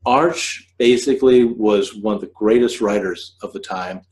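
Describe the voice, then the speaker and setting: A man hosting a scriptwriting podcast, explaining in a modest, deliberate way. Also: lowish voice, darkish tone. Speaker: podcast host teaching scriptwriting. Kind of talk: casual explanatory talk.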